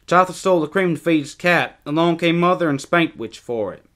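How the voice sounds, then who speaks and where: A man voicing excitable, bickering young twin boys in storybook dialogue, taunting and exclaiming. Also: fairly high pitch, brisk, voice pushed up, lightly acted.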